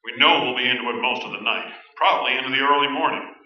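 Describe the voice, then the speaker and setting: A man's voice: medium pitch, quick pace, lightly acted. A man playing an unflappable, folksy small-town sheriff who hunts ghouls in a staged radio play. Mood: matter-of-fact. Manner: folksy, matter-of-fact, laconic. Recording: very echoey stage, distant dull pickup.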